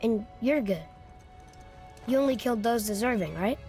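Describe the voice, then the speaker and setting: A man's voice: very high-pitched, lively intonation, normal speed, a little mellow, faint music behind. A young male actor playing a cocky, petulant demigod boy in a video game, brash and defiant. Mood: boastful. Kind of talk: video game character acting. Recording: clear background noise.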